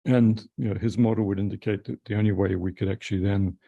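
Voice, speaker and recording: A man with a very low, mellow voice thinks aloud on a video call panel, recorded dry and close. He rambles and hesitates, leaning on fillers as he goes. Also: fairly even intonation, fast, vocal fry, husky, no echo, lo-fi sound. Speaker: panelist, systems architect.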